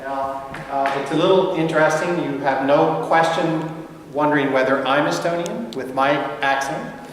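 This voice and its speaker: A man's voice: on the high side, moderate pace. Older man, rambling friendly lecture, long hall reverb, faint room hiss. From United States. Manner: rambling, friendly, many fillers and restarts. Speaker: guest lecturer. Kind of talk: academic lecture with anecdotes. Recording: echoey hall from far off.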